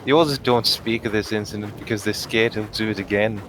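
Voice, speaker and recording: A man's voice: on the low side, fairly even intonation, brisk, background noise. A man reading a comedic fan comic as narrator in a wry, conversational way. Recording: music bed behind voice. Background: music.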